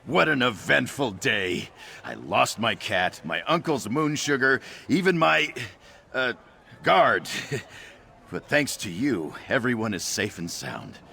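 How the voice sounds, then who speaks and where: A man's voice: medium pitch, very animated, takes it slowly, creaky, pushed and bright, a little hiss or hum, exaggerated voice. A man playing a cheerful, ambitious young orc trader in scripted video game dialogue. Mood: relieved, happy.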